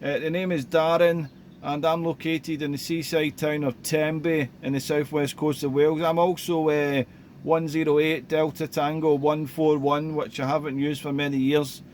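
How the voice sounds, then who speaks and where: A man's voice: a little high, flat delivery, moderate pace, darkish tone, slight background noise. Chatty man on a radio mic. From Scotland. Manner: friendly, chatty, frequent filler "uh". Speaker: radio amateur. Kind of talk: casual radio chat. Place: home station by the sea.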